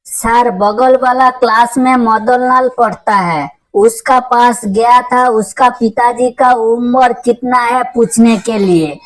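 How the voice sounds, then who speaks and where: A woman voicing a dim but earnest schoolboy in a comedy cartoon, answering in a deliberate, reciting manner. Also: on the high side, steady tone, rough recording. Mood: naive, deferential.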